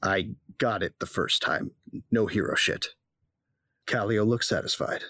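A man's voice: on the low side, unhurried, pushed and bright, dry recording, clean studio sound, heavily acted. A man narrating as a wry, laid-back male bounty hunter in an audio drama.

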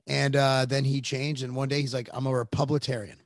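A man's voice: medium pitch, flat delivery, brisk, no echo. A blunt, emphatic middle-aged man talks politics on a podcast and swears casually. His voice sits in the middle range and sounds dry and close to the mic. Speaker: podcast host.

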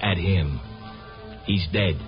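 Low, menacing American carny tough. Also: male voice, slow pace, faint music behind. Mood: veiled threat. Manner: slangy, clipped, laconic. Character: streetwise, quietly menacing carnival man, slyly warning. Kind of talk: 1950s radio drama acting. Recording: muffled old radio recording.

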